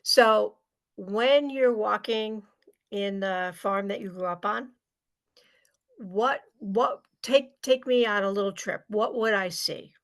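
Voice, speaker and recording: A woman interviewer speaks at an unhurried, slow pace with a mid-range voice. She hosts in a conversational style. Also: medium pitch. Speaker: host, interviewer.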